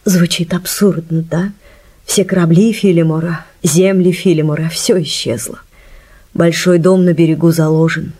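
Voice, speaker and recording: A refined, troubled widow in a radio drama. Her voice is low and mellow with a slight huskiness. She speaks hesitantly and confidingly, as if reluctant to admit her worries. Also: fairly even intonation, moderate pace, slightly rough. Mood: sad, wistful. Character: refined, troubled older widow forced to sell heirloom pearls. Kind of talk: Russian radio play acting. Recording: music bed under studio voice.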